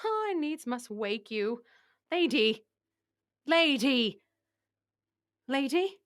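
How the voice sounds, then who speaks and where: A woman playing a bawdy, doting nurse in a classical Shakespeare play, loud and theatrical, with many exclamations. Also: high voice, expressive, very slow, exaggerated voice. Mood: teasing, coaxing. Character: bawdy, doting female nurse and servant, turning to wailing. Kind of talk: classical Shakespearean stage acting.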